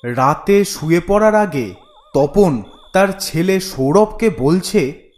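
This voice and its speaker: A man's voice: high-pitched, expressive, slow pace, darkish tone. A man narrating a scripted horror story as the storyteller of a cartoon.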